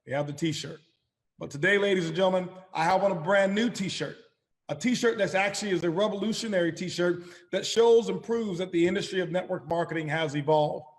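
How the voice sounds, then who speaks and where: A middle-aged man with a slightly raspy voice, hosting a motivational sales call as company chairman, emphatic and repeating his phrases. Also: sits high, fairly quick, long reverb tail. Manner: emphatic, repeats phrases, addresses listeners as ladies and gentlemen. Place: calling in by phone, not a studio.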